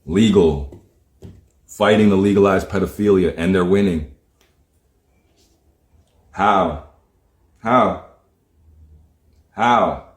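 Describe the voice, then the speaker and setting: A man's voice: deep voice, takes it slowly, pauses often. Man, livestream rant, heated and profane, preachy, rhetorical questions, roomy echo. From Jamaica. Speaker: livestream host. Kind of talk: ranting monologue.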